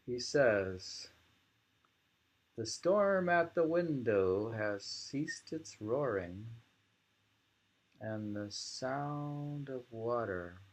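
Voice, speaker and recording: A man reading poems aloud in a slow, halting, musing way, repeating lines and adding informal, rambling commentary, on a dull lo-fi recording. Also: on the low side, creaky. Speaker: reader and commentator of poetry.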